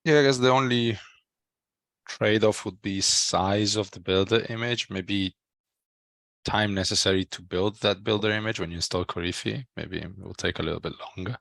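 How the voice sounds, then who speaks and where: A man's voice: fairly low pitch, fairly even intonation, normal speed, creaky, a bit bright, dry recording. A man in his thirties thinking aloud tentatively in a casual technical discussion on a headset. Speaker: software developer on a working group call. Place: small room at home, video call.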